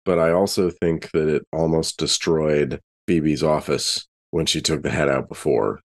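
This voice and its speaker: A man with an extremely deep voice talks as a player at a tabletop game. He is casual and works through his thoughts aloud, a little haltingly.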